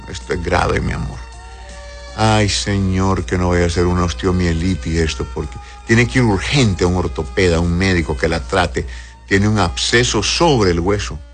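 A man working as a doctor, reading listeners' health questions aloud and answering them in a live advice show, with speech and affectionate words for his callers. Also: sits low. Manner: reads viewers' messages aloud, answers tenderly, calls them "corazón".

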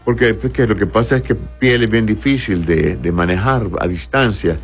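Male Honduran doctor, low voice, informal advice to an audience with halting rephrasing, faint music underneath. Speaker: doctor answering audience health questions. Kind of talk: informal medical advice, reading questions aloud.

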